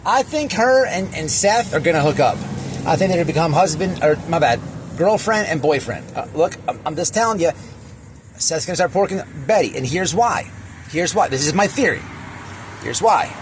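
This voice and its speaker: A man giving a casual, opinionated reaction rant as an online commentator, emphatic and repetitive, with halting phrasing and crude slang. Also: a little high, animated, slight background noise.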